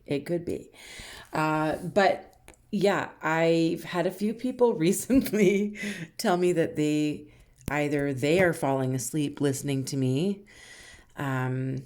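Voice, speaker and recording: A woman in her thirties from Canada, chatting casually and self-deprecatingly with a soft, soothing voice while she paints. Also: sits low. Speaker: rock painting channel host.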